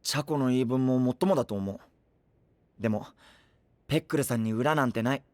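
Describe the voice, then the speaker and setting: A man's voice: medium pitch, a little fast, no echo, natural voice. A man playing a frank, cheerful and honest young knight in an anime voice drama, with a casual, exuberant manner. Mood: earnest. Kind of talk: Japanese anime voice drama acting.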